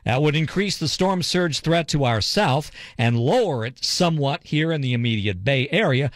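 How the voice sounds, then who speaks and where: An American man reading a radio news bulletin in a measured, formal manner as a reporter. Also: a little high, lively intonation, dry recording.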